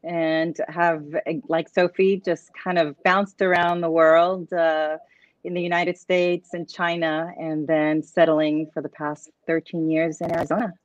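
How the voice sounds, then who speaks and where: A man's voice: fairly high pitch, little pitch movement, measured pace, no echo. A man from Puerto Rico, a coach and guest, answering earnestly in long run-on sentences. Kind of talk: casual interview answers. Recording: dull lo-fi, dry sound.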